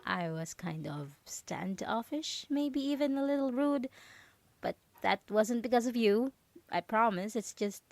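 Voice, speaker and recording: Possessive roommate, female woman acting: ASMR roleplay, unhurried and hesitant, falsely innocent and nervously sweet, very dry recording. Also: medium pitch, no echo. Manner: halting, nervous, giggly, hesitant with many trailing pauses. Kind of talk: ASMR roleplay audio drama monologue.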